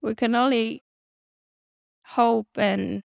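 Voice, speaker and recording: A woman's voice: fairly high pitch, steady tone, takes it slowly, gravelly, no echo, studio quality. A young woman from South Sudan, raised in Kenya and Australia, speaking as an interview guest, reflective and earnest, with frequent restarts. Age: young adult. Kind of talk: candid conversational interview.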